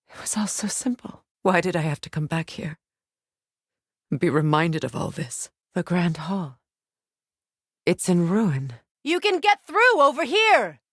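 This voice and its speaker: A woman's voice: medium pitch, a bit bright, no room sound, clean studio sound. A woman acting a proud, bitter exiled warrior goddess and grieving mother in a video game, with a stern, curt, imperious manner. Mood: mournful, then urgent.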